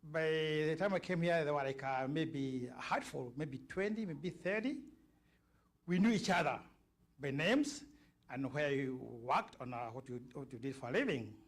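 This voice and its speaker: A middle-aged or older man from Kenya with a Kenyan accent, giving an informal, halting public address as a community speaker and checking in with his listeners. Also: fairly high pitch, animated.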